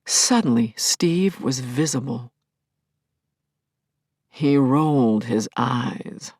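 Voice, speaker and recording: A woman playing a middle-aged grieving widow in an audio play, telling her story as an intimate, expressive and reflective monologue. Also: very deep voice, extremely slow, slightly rough, no room sound, studio quality, exaggerated voice. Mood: shaken. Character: grieving widowed scientist, wistful, wry, tender, anxious. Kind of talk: intimate dramatic first-person monologue.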